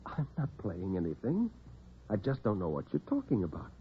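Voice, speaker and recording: A man's voice: fairly low pitch, wildly swooping pitch, moderate pace, slightly rough, exaggerated voice. Man acting a dazed, bewildered accused husband. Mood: dazed, baffled. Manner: hesitant, baffled, subdued. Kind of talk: classic radio drama acting. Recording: narrow-band vintage radio recording.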